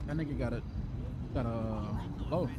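A man's voice: medium pitch, normal speed. A man in casual roleplay chat, speaking hesitantly. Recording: game street noise behind voice.

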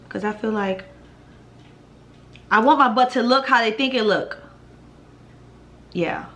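A young woman in her twenties with a deep voice, chatting bluntly and profanely through a Q&A vlog at home. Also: a bit bright, slight background noise. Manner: blunt, profane, laid-back with laughs. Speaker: vlogger answering viewer questions. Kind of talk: casual Q&A vlog. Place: living room at home, indoors.

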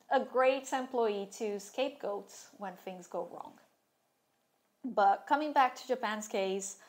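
A woman in her thirties speaking candidly and wryly in a casual talking-head vlog, seated at a desk in a small room. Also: a little high. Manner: candid and wry, with sarcastic asides. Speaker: vlogger sharing her fashion school experience.

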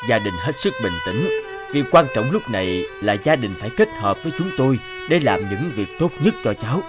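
A man's voice: medium pitch, very animated, a little fast, music in the background, natural voice. A man voicing a sober, authoritative middle-aged doctor in a cartoon, formal and explanatory. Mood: grave, explaining. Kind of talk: cartoon voice acting.

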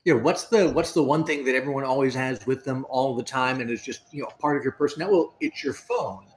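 A middle-aged man talking casually on a panel, often halting and repeating his words. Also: medium pitch. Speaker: panelist, tech reporter and game designer. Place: indoor room at home. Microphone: video call. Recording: noisy home video-call audio.

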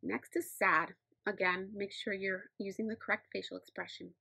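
A middle-aged woman, a teacher giving sign language instruction in measured, step-by-step explanations. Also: medium pitch, steady tone, creaky, sharp tone, slightly rough, no room sound. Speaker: teacher of the deaf. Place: small indoor room against a plain backdrop.

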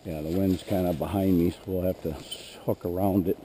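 A man with a hoarse voice, narrating plainly as he trains a dog in an open field, recorded on a head-mounted action camera. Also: low voice, fairly even intonation, fairly quick, warm tone, slight background noise. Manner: plain, matter-of-fact, clears throat often. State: hoarse, losing his voice. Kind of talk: narrating a hunt while commanding a dog. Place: open grassy field outdoors.

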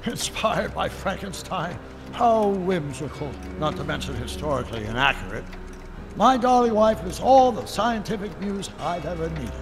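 An actor playing an elderly, stern, autocratic scientist in an animated video game, with pompous, formal and theatrical diction. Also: male voice, high-pitched, big-room sound. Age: older. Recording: music behind the voice.

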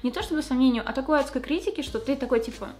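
A woman's voice: sits high, darkish tone, faint music behind. A young woman vlogger in a small room, talking candidly to the camera in a slangy, casual way while doing her makeup. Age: young adult. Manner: fast, slangy and candid, with frequent fillers. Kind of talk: casual confessional chat to camera.

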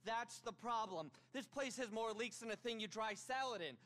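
A man's voice: sits high, moderate pace, fairly bright tone. An actor playing a pompous, manic tech billionaire in his thirties in a game cutscene, indignant and theatrical. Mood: frantic, self-important. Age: 30s. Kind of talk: animated game cutscene acting.

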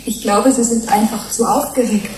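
An actress playing a calm, gently ironic human-fish hybrid in a German radio play, deliberate and questioning. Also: female voice, a little high, close to an everyday voice. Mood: earnest, questioning. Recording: roomy sound with faint noise.

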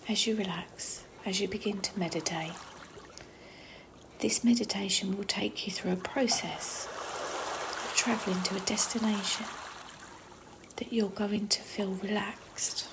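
A woman guides a meditation in a soft, breathy voice that often sits close to a whisper. Her pitch is mid-range for a woman, and she takes her time between phrases. A clearly audible wash of trickling water and noise runs behind her. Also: fairly even intonation, a little slow, pauses often.

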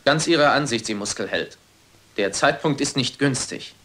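An actor playing a smooth, sarcastic, nonchalant young ship's officer in a radio play. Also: male voice, medium pitch, expressive, moderate pace, fairly bright tone, close to an everyday voice. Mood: sardonic. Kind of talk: German radio-play acting.

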